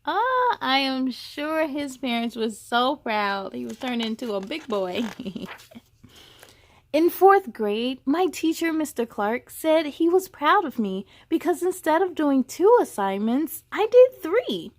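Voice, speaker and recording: A woman's voice: high-pitched, very animated, takes it slowly, slight background noise. A woman hosting a children's story time, reading aloud expressively with encouraging, chatty asides to young viewers. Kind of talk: animated children's read-aloud.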